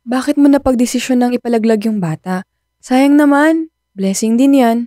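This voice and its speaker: Concerned young daughter for radio drama, played by a woman: high-pitched, soft-toned, earnest, principled. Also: normal speed, no room sound, clean studio sound, natural voice. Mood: pleading, concerned. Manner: earnest, questioning.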